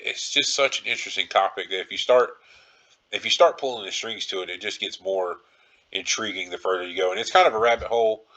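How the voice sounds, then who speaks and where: A man's voice: on the low side, pushed and bright, rough recording. A man hosting a podcast solo, talking casually in a rambling, musing, speculative way. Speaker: podcast host.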